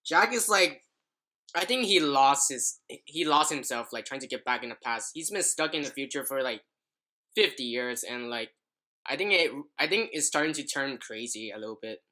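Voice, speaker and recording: Male podcast host, bright tone, loose rambling casual talk full of filler words. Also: mid-range voice, expressive, moderate pace. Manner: rambling, leans heavily on "like". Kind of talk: casual chat.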